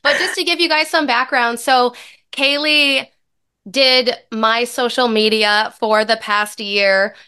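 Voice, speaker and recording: A woman in her thirties hosting a podcast in a casual, upbeat way, her voice a little raspy from recovering from a lost voice. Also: fairly high pitch, steady tone, slow pace, pushed and bright. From American Midwest. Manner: upbeat, chatty. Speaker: podcast host and dietitian.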